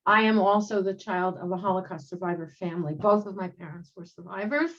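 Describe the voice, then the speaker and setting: A woman in her sixties, a rabbi, telling her own story reflectively on a video call panel, with many pauses and ums. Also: fairly low pitch, fairly bright tone, lo-fi sound. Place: home room.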